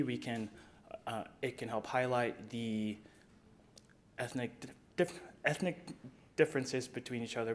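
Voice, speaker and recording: Male club sponsor from Colorado Springs, earnest conversational speech, some fillers, faint background hum. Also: medium pitch. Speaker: high school club sponsor. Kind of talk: speech at council meeting. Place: city council chamber.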